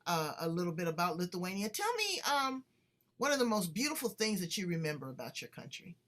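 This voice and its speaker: A woman from the United States hosting an interview show, chatting in an animated, playful way. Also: on the low side, fairly quick. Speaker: podcast host. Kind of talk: casual interview chat.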